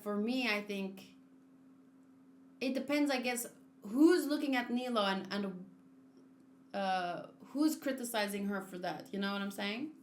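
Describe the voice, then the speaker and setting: Female streamer: casual, halting, musing commentary, highish voice, roomy sound with faint music behind. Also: slight background noise. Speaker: game streamer.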